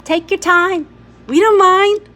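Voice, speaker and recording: A woman's voice: very high-pitched, steady tone, slow, sharp tone, pitched above the performer's own voice, heavily acted. A woman voicing a wide-eyed, easygoing young boy in a storybook. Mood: cheerful.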